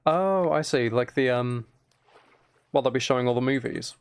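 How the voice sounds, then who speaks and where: A very tired man chatting casually and quietly on a game livestream, rambling and slightly mumbled in a soft ASMR manner. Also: medium pitch, moderate pace, creaky, clear, resonant tone. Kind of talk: casual gaming stream chat, ASMR.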